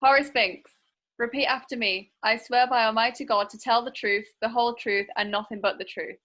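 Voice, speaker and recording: A woman's voice: a little high, fairly even intonation, a little fast, a bit bright, close to an everyday voice. A woman playing an officious court clerk in a radio play, formal and commanding. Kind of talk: comic radio play acting.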